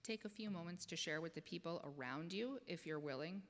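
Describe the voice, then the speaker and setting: Female meditation leader, notably low register, gentle step-by-step prompts, long room reverb. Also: expressive, fairly quick. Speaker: lay leader of guided meditation. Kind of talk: guided meditation, slow prompting questions. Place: church sanctuary, speaking to congregation. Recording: echoey church hall, noticeable background noise.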